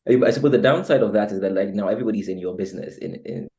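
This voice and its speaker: A Ghanaian-accented man talks as a guest in a casual conversation. His voice is very low, with a soft, dark warmth. He chooses his words in a measured, diplomatic way. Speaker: interview guest.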